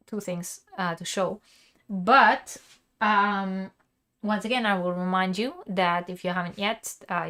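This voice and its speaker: Woman, crochet teacher, live stream, chatty, laughs often, voice going hoarse, coughs. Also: medium pitch, pushed and bright. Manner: chatty and laughing, with many fillers and restarts. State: losing her voice, coughing. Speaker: crochet teacher and channel host. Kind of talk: live-streamed craft lesson, answering chat.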